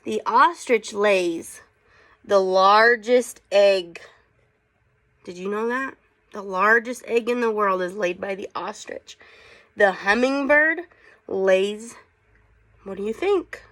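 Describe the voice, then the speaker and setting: A woman's voice: mid-range voice, a bit bright. A woman teacher reading a picture book aloud to children, casually prompting them with questions as she goes. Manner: unhurried, inquisitive, prompting listeners with questions.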